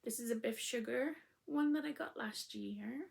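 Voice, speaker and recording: A woman hosting a casual crafting podcast, her voice still croaky after illness, talking in a chatty, rambling way with frequent ums. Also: fairly high pitch, moderate pace, darkish tone. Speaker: knitting podcast host.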